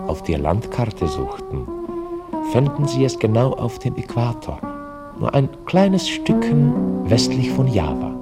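An actor playing a reflective novelist who narrates his own story in a radio drama, measured and deliberate. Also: medium pitch, wildly swooping pitch, loud music behind the voice. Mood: storytelling. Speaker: author-narrator.

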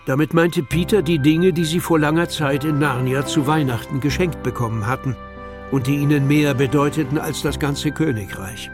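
A man narrating a children's audio drama as a scripted storyteller. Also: mid-range voice. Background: music.

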